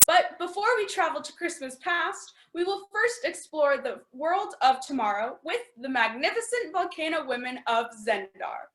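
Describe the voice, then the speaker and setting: A woman's voice: high voice, very animated, moderate pace, few pauses, a bit bright, roomy sound, rough recording. A woman announcer reading a scripted introduction in the style of an old-time radio serial.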